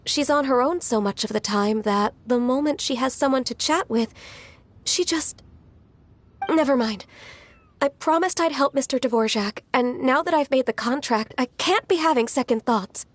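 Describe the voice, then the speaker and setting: A woman voicing a gentle, dutiful young female immortal in a video game, speaking earnestly in a polite, measured, slightly hesitant way. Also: sits high. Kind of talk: video game character acting. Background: music.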